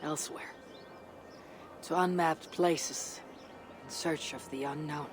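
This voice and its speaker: A woman playing a weary, proud Viking warrior in a video game, speaking in a husky, measured, dry way. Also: deep voice, steady tone. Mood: quiet, resolute. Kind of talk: dramatic video game acting. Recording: music under the voice.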